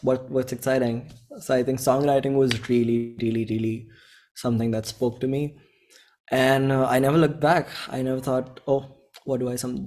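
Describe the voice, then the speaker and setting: A man's voice: mid-range voice, darkish tone. A man in his twenties with an Indian accent, talking casually and in a rambling, slangy way as a musician being interviewed. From Bhopal, India. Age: 20s. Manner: rambling, informal slang, often quotes own thoughts.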